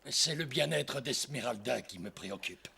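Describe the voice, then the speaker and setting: A man's voice: medium pitch, animated, normal speed, gravelly, pushed and bright, a little hiss or hum. A man playing an obsessed, menacing, manipulative priest in a French cartoon dub, with theatrical, impassioned delivery that swings from coaxing to threatening. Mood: scheming. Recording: long hall reverb, music behind.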